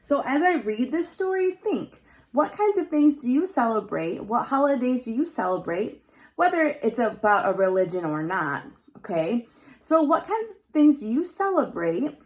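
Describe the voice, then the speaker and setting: A woman with a fairly high, very expressive voice gives a read-aloud lesson for young children. She speaks as their teacher, explaining in simple phrases and leaning on key words. Her delivery moves between reading the text and addressing the pupils directly. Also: normal speed.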